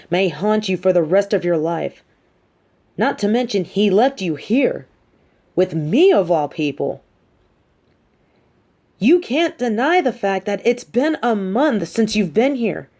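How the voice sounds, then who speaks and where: Woman acting a slow, mellow-voiced female supervillain. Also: mid-range voice, lightly acted. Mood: grave, sympathetic. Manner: slow, deliberate, condescending, theatrical. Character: female supervillain, coolly menacing, manipulative yet sympathetic. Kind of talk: scripted audio roleplay addressed to the listener.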